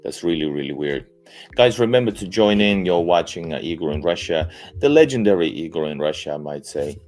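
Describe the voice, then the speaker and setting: A young man hosts a casual live stream in an extremely low voice. He talks haltingly, filling pauses with "uh" and "you know" and restarting sentences. Faint music plays behind him. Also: lively intonation, darkish tone. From Finland. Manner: hesitant, many fillers and restarts.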